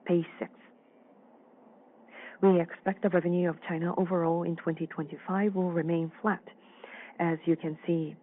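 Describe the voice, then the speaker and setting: Mid-pitched voice doing a formal earnings call, measured and a bit halting, muffled phone-line sound. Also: a little hiss or hum. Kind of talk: formal financial results presentation, interpreted. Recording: dull, band-limited phone-line audio.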